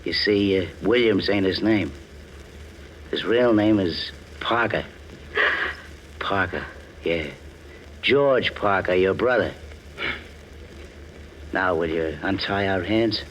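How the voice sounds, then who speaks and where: A dim, menacing American gangland heavy for old-time radio. The voice is fairly low and very slow, full of slangy threat, over a faintly noisy vintage recording. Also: male voice, a bit bright, highs cut off. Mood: gloating. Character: menacing, slow-witted hired thug of crime boss. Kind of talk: 1940s American radio acting.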